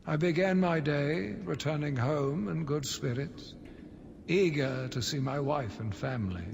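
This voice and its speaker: An actor playing an embittered, zealous asylum doctor who gives a solemn, theatrical monologue for a video game audio log. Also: male voice, fairly high pitch, vocal fry. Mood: grieving, haunted. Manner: solemn, measured, brooding, theatrical. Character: embittered male asylum doctor, grief-stricken, zealous, slowly turning vengeful. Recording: long reverberant echo, loud background noise.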